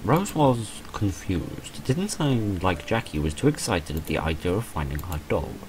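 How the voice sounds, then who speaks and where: A man reading the storybook narration of a gentle visual novel. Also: low voice, sing-song, normal speed, background noise. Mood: gentle storytelling.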